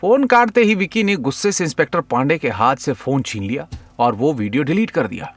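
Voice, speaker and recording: Animated high-pitched male story narrator. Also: a little fast, faint music behind. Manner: playful, teasing storyteller. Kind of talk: dramatic comic audio story narration.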